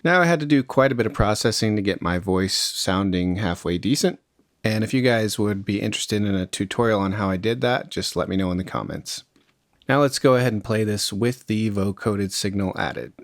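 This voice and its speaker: A man's voice: on the low side, animated, a little fast, no room sound, studio quality. A man presenting a music production tutorial in a casual, conversational, step-by-step way. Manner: informal, conversational instruction.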